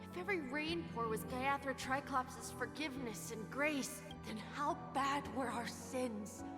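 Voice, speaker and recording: A woman's voice: high-pitched, a little slow. A woman voicing a clever, defiant young boy in a video game, a devoted little brother. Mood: solemn, questioning. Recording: long reverb, loud music behind.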